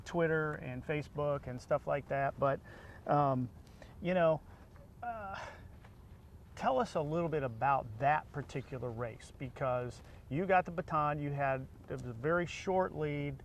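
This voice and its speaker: A middle-aged man interviewing casually, with rambling, halting questions. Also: on the high side. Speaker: interviewer, faculty member and former football coach. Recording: clear background noise.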